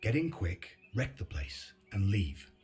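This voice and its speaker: A man's voice: on the low side, big pitch swings, slow, darkish tone, soft background music. A man playing a weary, battle-hardened middle-aged resistance leader in a video game, terse and dryly sardonic. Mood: commanding, dry. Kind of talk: video game cutscene acting and narration. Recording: very noisy, engine and ambience behind.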